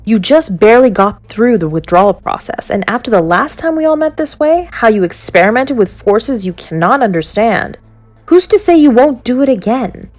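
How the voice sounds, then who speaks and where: A woman's voice: on the high side, animated, darkish tone, lightly acted. Woman acting a formal, skeptical councilwoman. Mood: doubtful. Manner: measured, formal, questioning. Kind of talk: fantasy audio drama acting.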